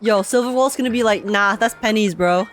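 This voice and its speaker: A woman's voice: medium pitch, a little fast. A young woman live-streaming a game with casual, slangy reactions, expressively repeating phrases for effect.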